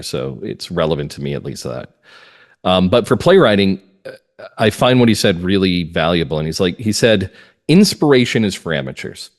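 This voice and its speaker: A man speaking as an interview guest, explaining things earnestly and emphatically in a conversational way. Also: low voice. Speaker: guest playwright.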